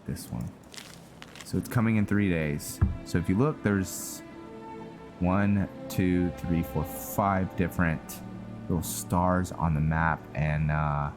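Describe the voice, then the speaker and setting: Casting: male gaming host, deep voice, unhurried, rambling roleplay commentary over game music. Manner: musing, rambling, talking to viewers.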